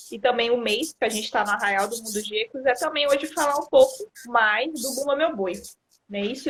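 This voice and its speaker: Higher-pitched woman co-hosting. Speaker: live-stream co-host. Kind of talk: casual live-stream chat.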